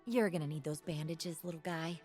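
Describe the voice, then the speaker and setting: Woman voicing young ninja assassin girl in a game, really low voice, stern n cocky, deadpan short cutting lines. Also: brisk, faint music behind, close to an everyday voice. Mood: menacing, confident. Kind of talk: video game champion voice lines.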